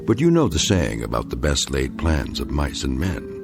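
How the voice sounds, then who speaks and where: An actor as a grave male narrator delivering dramatic scripted narration in a solemn, measured, portentous way. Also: extremely low pitch, big pitch swings, creaky, over music, full character voice. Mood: grave, ominous.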